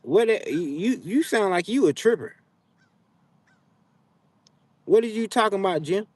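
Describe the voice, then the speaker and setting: A young man with chats live with his viewers and teases them with loose, animated trash talk. His voice sits a little higher than most men's. The sound is close and dry, with no room echo. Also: brisk. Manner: playful trash talk, animated, drawling slang. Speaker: livestream host. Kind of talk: casual live chat with viewers. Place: outdoors, frogs nearby.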